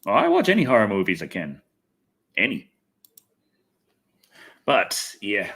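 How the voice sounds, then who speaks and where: A man's voice: lowish voice, huge pitch swings, measured pace, gravelly, fairly bright tone, slightly rough. An American man from Texas hosting a casual, rambling livestream, thinking aloud, swearing freely and poking fun at himself. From Texas, United States. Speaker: livestream host and video reviewer. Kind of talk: casual rambling livestream chat answering viewers.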